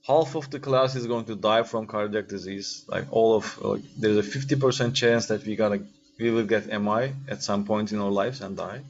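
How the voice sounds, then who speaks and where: A man's voice: lowish voice, normal speed. A man with a Turkish accent giving an informal, interactive lecture in English as a second language, with hesitant phrasing and frequent checking questions. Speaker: radiology lecturer. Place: online video-call class. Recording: dull lo-fi online call, faint noise.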